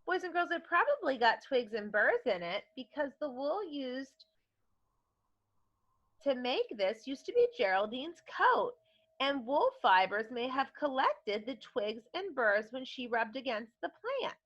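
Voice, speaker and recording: A woman's voice: sits high, big pitch swings, measured pace, fairly bright tone. A woman speaking as an elementary teacher, instructing young children and putting questions to them during a read-aloud lesson. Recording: dull, lo-fi sound.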